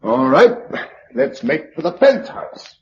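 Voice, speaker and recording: An actor playing a resolute, practical British survivor of a catastrophe in a radio drama, with a brisk, unsentimental, commanding manner. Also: male voice, a little high, big pitch swings, darkish tone. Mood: wry, practical. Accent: British English. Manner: brisk, matter-of-fact, commanding. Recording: dull lo-fi radio recording, faint noise.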